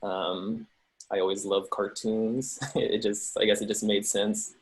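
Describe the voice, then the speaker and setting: A man who works as an animator and musician, answering panel questions over a video call in a halting, hesitant way. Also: lowish voice, little pitch movement. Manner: halting, hesitant, self-correcting.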